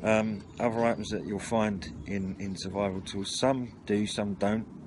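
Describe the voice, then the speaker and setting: A man giving an unscripted, matter-of-fact walkthrough of gear, speaking haltingly, recorded outdoors at a table on a camera mic. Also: lowish voice, steady tone. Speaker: presenter of outdoor gear videos. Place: outdoors at a wooden garden table by a house. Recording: noisy outdoor camera audio.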